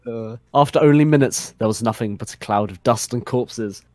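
A man's voice: medium pitch, moderate pace, a little mellow, no echo. A young adult man giving a dramatic comic narration, prone to giggling as he reads. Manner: giggly, often cracks up while reading. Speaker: narrator of a comedy fan fiction reading. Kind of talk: dramatic narration breaking into amused banter.

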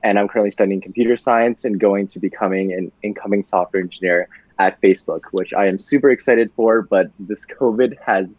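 A young man, a podcast guest, talking casually about his childhood, relaxed and full of fillers, laughing easily. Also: fairly low pitch, brisk, no room sound, muffled recording. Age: young adult. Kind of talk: casual conversational storytelling.

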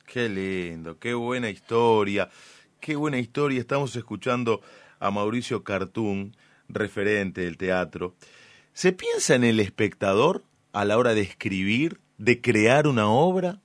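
A man with an Argentine Rioplatense accent hosting a conversational radio interview in a measured, respectful manner, close to the microphone. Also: fairly low pitch, animated, dry recording. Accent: Argentine Rioplatense Spanish.

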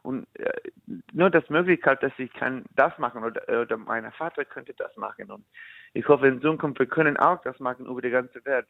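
A man from the United States, a musician, answering in halting German and slipping into English. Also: medium pitch, gravelly, no room sound. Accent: American. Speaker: musician guest. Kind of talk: casual interview in learner German. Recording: dry, phone-like line.

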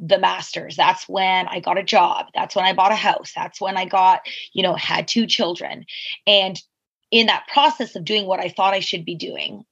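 Woman, 40s, husky podcast guest, candid and reflective, wandering storyteller. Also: fairly low pitch, a bit bright, highs cut off. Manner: reflective, rambling with asides and fillers.

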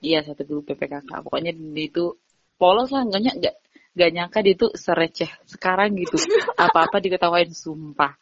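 A woman's voice: on the low side, big pitch swings, moderate pace, highs cut off. A young woman, a podcast guest, chatting casually, telling stories animatedly and giggling often. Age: young adult. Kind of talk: casual chat.

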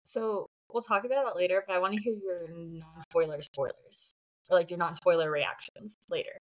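A woman's voice: fairly low pitch, steady tone, moderate pace. A woman co-hosting a book podcast, chatting casually and conversationally with frequent "like". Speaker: podcast co-host.

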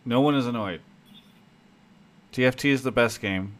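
A man's voice: medium pitch, normal speed. A man hosting a call-in esports talk show, joking and teasing between callers. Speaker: show host.

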